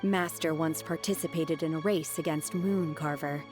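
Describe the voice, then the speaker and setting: A woman playing a calm, earnest young disciple in a video game, speaking politely and matter-of-factly. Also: low voice, over music, natural voice. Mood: storytelling. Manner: matter-of-fact, polite, deliberate, blunt. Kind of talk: video game character acting.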